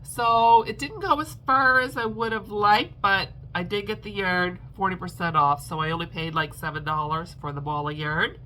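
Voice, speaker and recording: A woman's voice: lowish voice, steady tone, moderate pace, few pauses, pushed and bright, a little hiss or hum, low-quality recording. A woman crochet vlogger chatting casually at home, stuffed up and congested, rambling with lots of ums and little laughs. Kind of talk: casual chat to camera.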